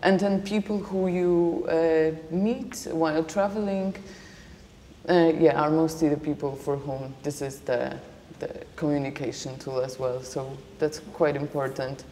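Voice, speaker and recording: Low, dark-voiced woman in a hall. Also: steady tone. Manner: measured, reflective, with frequent fillers. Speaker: panel guest. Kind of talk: panel discussion. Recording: long hall reverb, faint noise.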